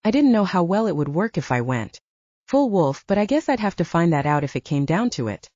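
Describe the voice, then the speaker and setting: A woman's voice: fairly low pitch, very animated, fairly quick, darkish tone, dry recording, muffled recording. A woman narrating scripted first-person fiction as an audiobook narrator.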